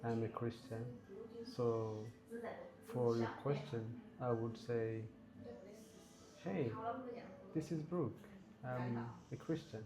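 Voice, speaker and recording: Male guest, unhurried and earnest, murmuring crowd in the background. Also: mid-range voice, fairly even intonation, low-quality recording. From Ethiopia. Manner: slow, measured, earnest. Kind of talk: reflective interview answers.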